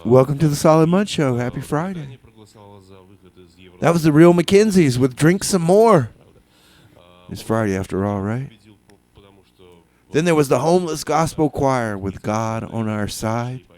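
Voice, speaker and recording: A man's voice: medium pitch, expressive, measured pace, pauses often, a little mellow. Casual, tongue-in-cheek male radio DJ. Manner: hesitant, tongue-in-cheek, repeats phrases for effect. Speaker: radio DJ and show host. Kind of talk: casual music radio DJ patter with comedy bits. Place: radio studio.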